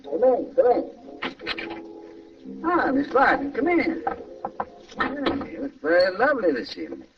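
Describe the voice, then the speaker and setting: Gravelly, swooping nightclub racketeer. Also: male voice, high-pitched, wildly swooping pitch, unhurried, background noise, heavily acted. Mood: oily, welcoming. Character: nightclub boss racketeer, oily, smoothly menacing blackmailer. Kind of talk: old-time radio crime drama acting. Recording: muffled distant old radio recording.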